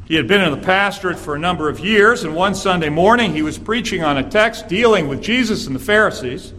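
A man with a raspy voice, a guest preacher delivering an earnest sermon in a church and reading scripture aloud. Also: high-pitched, a bit bright, slight background noise. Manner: earnest, measured preaching with filler "uh"s.

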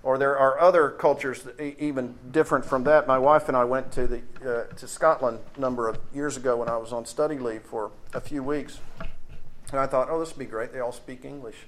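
Texan man preaching, clear background noise. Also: mid-range voice, steady tone. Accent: Texas. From Texas, United States. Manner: conversational, emphatic, pausing on key phrases. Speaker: preacher and seminary teacher. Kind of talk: expository sermon with anecdotes.

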